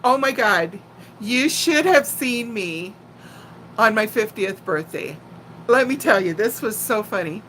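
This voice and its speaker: A woman in her sixties with a fairly high voice talks at a very leisurely pace. She is a playful, chatty storyteller addressing her viewers informally, with some faint noise behind her. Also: measured pace, low-quality recording. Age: 60s. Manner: animated, playful storyteller who laughs easily and often. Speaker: live stream host chatting with viewers. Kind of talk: casual chat and personal storytelling.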